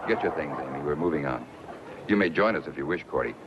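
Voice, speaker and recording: A noisy, dull old radio western recording. An actor plays a demanding, possessive man of haughty temper, sneering as his pitch swings widely. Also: animated, fast talker. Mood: curt. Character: suspicious, possessive, haughty male wagon-train passenger. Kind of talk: western radio drama acting.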